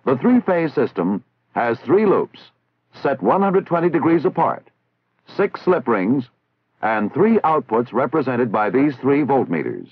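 A man with an American accent narrating a 1960s military training film in a formal, measured, instructional way, on an old film soundtrack. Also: mid-range voice, very animated, breathy edge, dry recording. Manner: formal, measured, didactic enunciation. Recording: tinny, band-limited 1960s film soundtrack.

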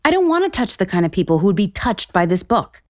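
An actress playing an overwhelmed, sarcastic, foul-mouthed author in an audio romantic comedy. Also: female voice, medium pitch, sing-song, fast talker, darkish tone, close to an everyday voice. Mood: exasperated. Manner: sarcastic, exasperated.

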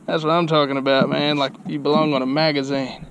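A man's voice: on the high side, flat delivery, brisk. A middle-aged man, chatting casually and encouragingly in a folksy way to young anglers. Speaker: adult on a children's fishing trip. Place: outdoors on a small open boat on a lagoon. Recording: muffled, very noisy outdoor boat recording. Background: wind, water and boat noise.

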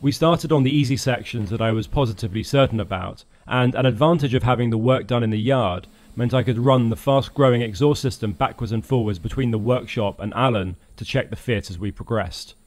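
A man reads a scripted voice-over for his own build project, steady in pitch and even in tone. His humour is dry and self-deprecating. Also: a little fast, few pauses. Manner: dry, self-mocking wit. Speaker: channel host narrating a boat build.

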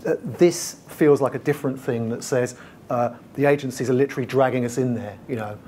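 Lively man moderating a panel. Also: mid-range voice, a little hiss or hum. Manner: lively, quick asides, acts out quotes. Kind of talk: conference panel discussion. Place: conference stage, indoors.

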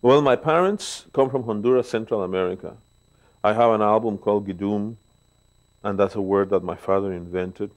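A man in his sixties with a Mexican Spanish accent speaks in a very low, deep voice. He tells stories as an interview guest, pausing on fillers, seated in a living room with a lapel mic. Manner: warm, storytelling, pauses with fillers. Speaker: guest bass player. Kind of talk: relaxed interview talk.

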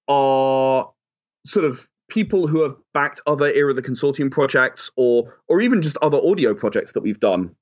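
A man's voice: medium pitch, a little mellow. A man speaking as an interview guest, giving advice and explaining in an earnest, emphatic way. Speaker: interview guest, audio drama and game creator. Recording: slightly dull, lo-fi audio.

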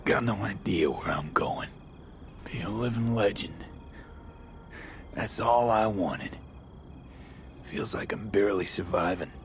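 A man's voice: fairly low pitch, slow pace, pauses often. An actor playing a blunt, weary, hard-bitten male mercenary in a video game. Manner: blunt, terse, profane. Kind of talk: video game dialogue acting. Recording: game ambience and traffic noise behind.

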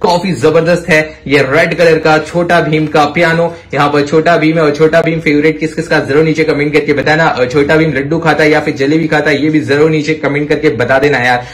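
A young adult man with an Indian accent presenting toys to children in an excited, exclamatory, emphatic way. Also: on the high side, brisk, hardly any pauses. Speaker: toy review channel host. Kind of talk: excited toy showcase commentary for children.

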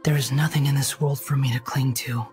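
A man's voice: mid-range voice, steady tone, brisk, darkish tone, close to an everyday voice. A brooding young male wanderer from a video game, played by a man. The delivery is somber, aloof and restrained, weighed down by guilt, with faint music underneath. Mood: bitter, despairing.

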